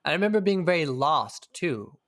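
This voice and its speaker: A man's voice: a little high, big pitch swings, moderate pace, a bit bright, no room sound, clean studio sound. A man being interviewed, talking in a thoughtful, searching way and swearing casually now and then. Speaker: interview guest. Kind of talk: reflective conversational interview.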